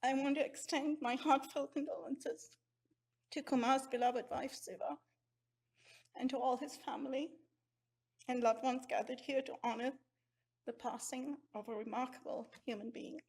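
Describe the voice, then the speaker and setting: A woman from Sri Lanka speaks a grieving tribute at a memorial. Her voice is high and breathy-husky. She delivers it with heartfelt sorrow. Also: fairly even intonation, moderate pace, darkish tone. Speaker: eulogy speaker. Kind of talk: memorial eulogy.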